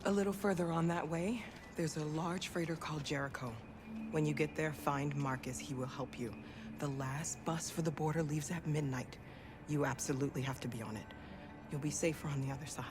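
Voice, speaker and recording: Actress doing a low motherly woman, kind n protective, earnest game drama, music loud-ish behind. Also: brisk, natural voice. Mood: tender, concerned. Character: kindly, protective woman helping fugitives escape.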